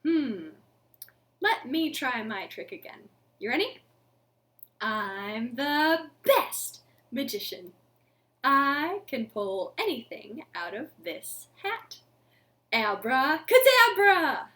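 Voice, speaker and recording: A woman in her thirties reads to young children as a storytime host. Her voice sits fairly high and swings widely in pitch. She speaks very slowly, in an expressive sing-song way, addressing the children directly. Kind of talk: children's storytime, interactive read-aloud. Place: living room at home.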